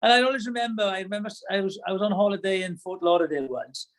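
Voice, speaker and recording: A man's voice: high-pitched, racing. A middle-aged Welsh man answering as a podcast guest, reflective and hesitant, often restarting his sentences. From Wales. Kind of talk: casual podcast chat.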